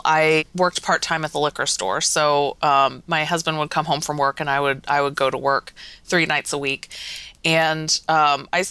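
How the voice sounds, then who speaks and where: A woman with a bright, smooth voice speaks as a podcast guest. Her pitch sits on the low side, and she tells her story casually, recorded close and dry. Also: dry recording. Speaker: podcast guest, magazine editor.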